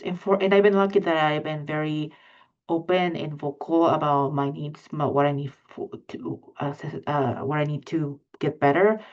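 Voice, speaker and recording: A woman with a Mexican accent speaks in a low voice, earnest and halting, full of fillers and restarts. Her audio is dull and lo-fi, like a video call. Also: darkish tone. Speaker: panelist. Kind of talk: live panel discussion.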